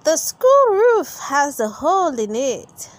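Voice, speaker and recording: A woman as high-voiced gazelle schoolmistress. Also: big pitch swings, slow, full character voice. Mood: announcing. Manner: announcing, encouraging. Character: Madame Gazelle, keen female gazelle schoolteacher announcing. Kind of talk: children's storybook read-aloud.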